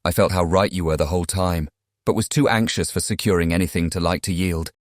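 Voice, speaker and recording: Male actor as charming young Regency gentleman, British accent, low pitch, dry close sound, eager, eloquent, audiobook drama. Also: fairly even intonation, brisk, no echo, natural voice. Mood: eager, persuasive. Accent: British English.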